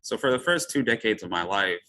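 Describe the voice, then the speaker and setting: A man from Ethiopia, a physician, giving a lecture and framing it with a personal story in a measured way. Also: lowish voice, lively intonation, bright, forceful tone, a little hiss or hum. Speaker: physician speaker. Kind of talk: lecture talk.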